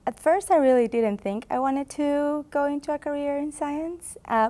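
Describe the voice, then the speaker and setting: A young woman from Honduras speaks in a recorded booth interview at a conference. She talks earnestly, pausing on fillers and laughing often. Her voice sits at a middle pitch. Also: gravelly, warm tone. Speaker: graduate student.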